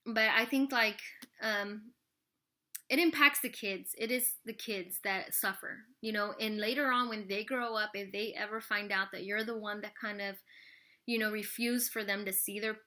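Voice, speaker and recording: A woman's voice: mid-range voice, steady tone, moderate pace, pushed and bright. A woman in her thirties chatting casually to camera as she answers viewer questions, rambling with lots of "like" and "you know". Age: 30s.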